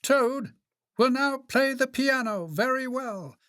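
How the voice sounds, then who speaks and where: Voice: high-pitched, expressive, slow, fairly bright tone, no room sound, clean studio sound, pitched above the performer's own voice, full character voice. A reader voicing a strange, grandiose stage announcer from a dream in a children's storybook, proclaiming pompously. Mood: grand, proclaiming.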